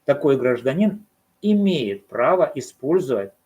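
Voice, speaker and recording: A man with a husky, slightly breathy voice pitched in an ordinary middle range. He talks alone to the camera, arguing his case in a measured, deliberate way. He keeps asking rhetorical questions and then answering them himself. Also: sing-song, warm tone. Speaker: commentator. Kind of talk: solo commentary on a court case.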